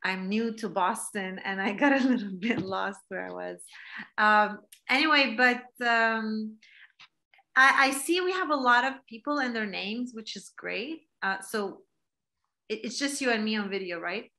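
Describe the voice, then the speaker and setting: A woman's voice: fairly high pitch, a little slow, bright, forceful tone. A woman in her forties from Palestine, giving a reflective online talk as a guest speaker, with many fillers and restarts, heard through a laptop on a video call. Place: home room, indoors. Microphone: laptop webcam video call.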